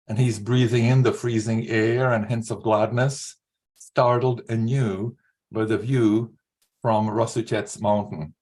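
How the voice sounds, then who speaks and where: A man in his sixties from Slovakia, a writer speaking at an online literary reading, with an earnest, halting delivery. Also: mid-range voice, a little mellow. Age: 60s. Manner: halting, repeats words, earnest. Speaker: writer reading own short fiction. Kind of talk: informal spoken introduction and author's reading at an online literary event. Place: remote video call.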